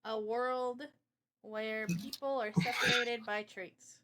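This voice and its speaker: Bright, high-voiced woman chatting casually. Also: fairly even intonation, slow pace, slight background noise. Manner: earnest, passionate. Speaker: live stream host interviewing a guest; illustrator. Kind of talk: casual unscripted chat.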